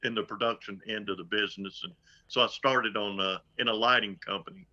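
Older folksy guy on a video call, super bright punchy voice, kinda muffled lo-fi sound. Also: lowish voice, steady tone, fairly quick. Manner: easygoing, folksy, chuckling. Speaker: guest, concert road crew member. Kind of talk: casual interview chat. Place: home room. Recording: dull video call audio.

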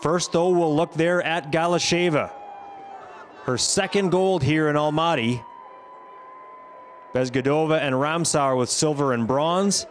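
A man giving scripted highlights commentary on a sports competition as the commentator. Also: a little slow, pauses often, slight background noise.

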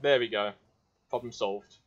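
A man's voice: mid-range voice, a little slow, a bit bright. A man giving casual commentary as he plays a video game. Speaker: gaming video host. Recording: dull, lo-fi microphone sound.

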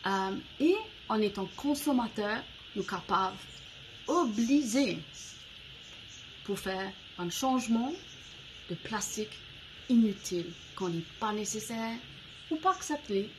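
A woman's voice: medium pitch, expressive, slight background noise, rough recording. A woman in her forties, the founder of an eco-products platform, speaking to camera on a garden veranda. She talks in a language that is not her mother tongue and searches for words. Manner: slow and deliberate, with pauses between short phrases. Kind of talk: prepared short address to camera. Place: covered veranda outdoors, garden greenery behind.

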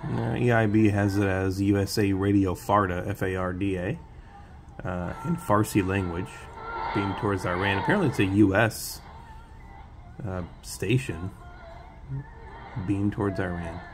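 A man giving casual, off-the-cuff commentary as a radio hobbyist, low-key, hesitant and matter-of-fact. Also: fairly even intonation, unhurried, long pauses. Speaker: shortwave radio hobbyist narrating. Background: music and shortwave radio sounds from receiver.

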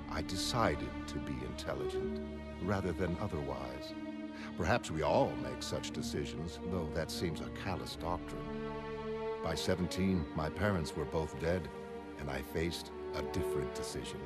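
An actor playing a wealthy, brilliant, self-assured visionary, recounting his life in measured, formal, erudite phrases in a motion comic. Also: a bass voice. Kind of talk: audiobook-like dramatic monologue in a motion comic. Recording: studio voice over prominent music.

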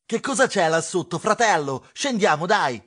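A man voicing a curious, slow-witted, chummy animal companion in a children's storybook, with puzzled, drawn-out delivery. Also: high voice, lively intonation, a bit bright, voice pushed up. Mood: curious, eager.

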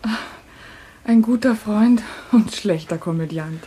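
An actress playing a frightened, accusing wife in a German thriller radio play, with dramatic delivery. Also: female voice, mid-range voice, measured pace, warm tone, a little hiss or hum, close to an everyday voice. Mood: weak, dazed. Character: frightened, accusing wife, victim of a cruel staged nightmare.

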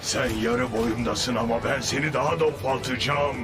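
A man's voice: mid-range voice, normal speed, music in the background, natural voice. Man playing a giant cartoon alien champion, threatening and prideful, medium register, over a heavy musical score. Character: huge proud male alien warrior racer, menacing, vengeful. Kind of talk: cartoon dubbing.

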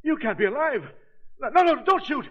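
An actor playing a terrified, pleading kidnap victim in a 1930s radio crime drama. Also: male voice, extremely high pitch, sing-song, fairly quick, a bit bright, full character voice. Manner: panicked, pleading. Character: terrified male kidnap victim begging for life. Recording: dull old radio recording.

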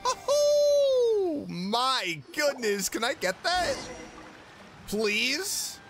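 A man hosting a gaming video, giving casual, playful and expressive commentary on a playthrough. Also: very high voice, very slow. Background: game music.

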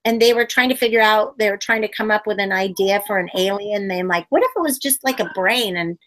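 A lively woman in her forties chats over a webcam from a room at home, recounting her career as a puppeteer. She slips into bursts of high squeaky voices whenever she acts out what people said. Her voice sits at an ordinary middle pitch. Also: a little fast. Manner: animated, acts out quotes with sudden high squeals. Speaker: puppeteer guest. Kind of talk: casual storytelling interview. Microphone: webcam on video call.

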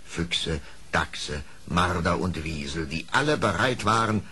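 A man's voice: sits low, lively intonation, unhurried, sharp tone, breathy edge, heavily acted. A man narrating a scripted storybook tale in a dramatic storytelling manner.

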